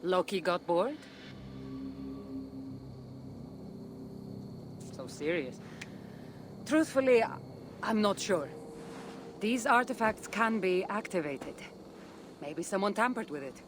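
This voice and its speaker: A woman plays a seasoned adventuring heroine from a video game. She is wry and teasing, with a solemn sense of duty beneath it. Her voice sits at a middle pitch, with clearly audible ambient noise behind it. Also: animated, measured pace, long pauses, natural voice. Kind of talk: video game character dialogue.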